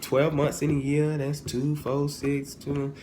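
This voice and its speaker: A man in his twenties giving a casual, humorous opinion monologue as a vlogger, animated and informal, often digressing and correcting himself. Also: on the high side, slow. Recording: clearly noisy, ambient background sound.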